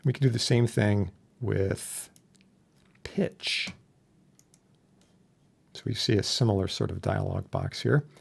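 A man narrating a casual software tutorial as an instructor, explaining things informally. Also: on the low side, slow pace, creaky, pauses often, slightly rough. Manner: informal, explanatory with homely analogies. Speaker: instructor demonstrating audio software. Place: indoor voice-over for a screen recording.

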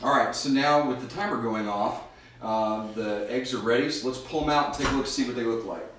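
A middle-aged man gives folksy, step-by-step cooking and safety instructions. He is picked up from across a home kitchen by a distant camera mic, so his voice sits back in the room. The hard surfaces add plenty of echo, and clear background noise runs under his speech. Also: mid-range voice, a little fast. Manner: folksy, instructive, addresses kids and parents directly. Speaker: fire safety educator. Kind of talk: cooking demonstration with safety tips. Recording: echoey kitchen, mic far off, audible noise.

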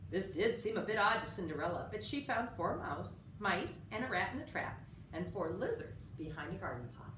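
A middle-aged woman reading a children's picture book aloud in an expressive, measured storytelling style. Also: medium pitch. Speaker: storyteller at a library. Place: indoor room with story sign. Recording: roomy, distant mic, faint room noise.

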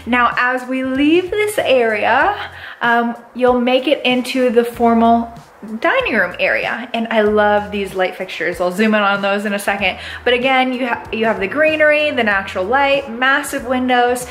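Bubbly high-voiced woman. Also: lively intonation, normal speed, a bit bright, slight background noise. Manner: bubbly, enthusiastic, gushing. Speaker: real estate agent. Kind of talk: upbeat house tour vlog. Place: inside a large empty house. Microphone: handheld camera at arm's length.

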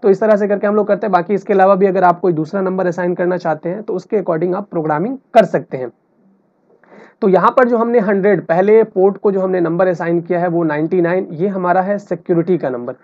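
A high-pitched man teaches in an informal tutorial style. He explains step by step, repeating himself and dropping in English terms. The recording is dull and lo-fi. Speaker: technical tutorial instructor. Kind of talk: explanatory screen tutorial, unscripted. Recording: dull lo-fi voice-over.

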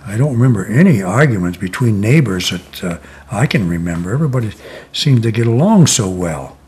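Older man, husky voice, halting pace. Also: on the low side, lively intonation, a little mellow. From Connecticut, USA. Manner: slow, reflective, many "uh" pauses. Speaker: interviewee. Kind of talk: oral history interview. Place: living room, seated in armchair.

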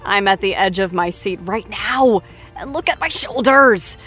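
Female after-show host: effusive, excitable, bright tone, unhurried delivery. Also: medium pitch, faint music behind. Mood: gushing, playful. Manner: effusive, gushing. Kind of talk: scripted celebrity interview show.

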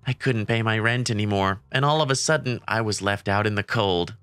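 A woman's voice: very deep voice, fairly quick, a bit bright, clear, resonant tone, dry recording. A woman voicing a timid, kindhearted teenage boy who narrates his story and stammers when flustered, in a manga comic dub. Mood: wistful narration. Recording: background music under the voice.

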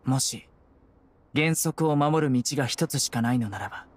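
A man's voice: medium pitch, expressive, normal speed, a little hiss or hum, natural voice. An actor playing a stern, composed young male investigator in a Japanese game, with a grave, restrained delivery. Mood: firm. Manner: grave, restrained, matter-of-fact. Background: plucked-string background music.

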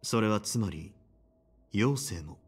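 An actor playing a dignified male general in a fantasy game, speaking calmly, formally and deliberately. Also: fairly low pitch, breathy edge. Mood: questioning. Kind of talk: restrained game voice acting.

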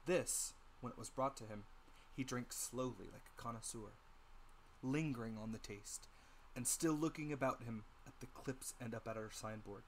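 Guy reading an audiobook dramatically, medium pitch, noisy recording. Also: moderate pace. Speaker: narrator.

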